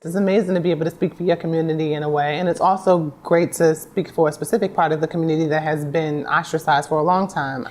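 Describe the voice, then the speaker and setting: A woman's voice: low voice, a little mellow. A woman guest answering interview questions in an articulate, earnest way. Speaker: actress guest.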